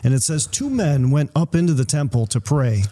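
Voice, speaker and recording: Mellow-voiced man discussing the Bible. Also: on the high side, animated, normal speed. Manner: reads scripture aloud in King James wording.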